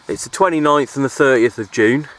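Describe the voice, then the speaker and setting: A man with a British accent chatting casually and informally to viewers in an unhurried, easygoing way, like an outdoor hobby vlogger. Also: fairly bright tone. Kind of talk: casual outdoor vlog chat. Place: outdoors at a windy makeshift shelter. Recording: noisy outdoor recording with ambient rumble.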